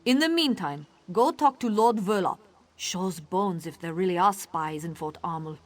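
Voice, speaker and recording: An actress playing a wary, stubborn female guard officer in a fantasy video game, speaking in a firm, measured, admonishing way. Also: on the low side, animated. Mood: skeptical, grudging. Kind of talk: video game dialogue acting. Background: music.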